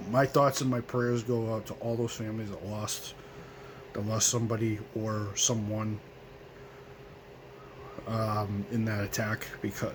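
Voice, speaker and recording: A hoarse man in his twenties from the United States hosting his own radio show, speaking in a halting, earnest way full of ums and restarts. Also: on the low side, noisy background. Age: 20s. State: hoarse, coughing. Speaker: host of a home radio show. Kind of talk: solemn remembrance monologue.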